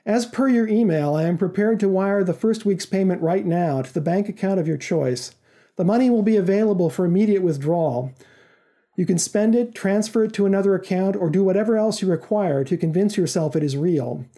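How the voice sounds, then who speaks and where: A man's voice: high-pitched, fairly quick, warm tone. A man reading the part of a commanding, secretive male go-between in a story, formal and precise. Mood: businesslike. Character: commanding, secretive male intermediary making a job offer.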